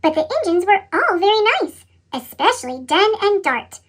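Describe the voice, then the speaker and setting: Chipmunk-pitched woman reading a children's story. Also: sing-song, moderate pace. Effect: sped-up chipmunk voice effect. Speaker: storybook reader. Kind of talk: expressive children's story narration.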